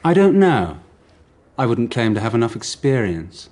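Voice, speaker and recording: A man's voice: medium pitch, very animated, moderate pace, darkish tone. An actor playing a guarded, prickly businessman under questioning in a radio drama, curt and defensive. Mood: evasive.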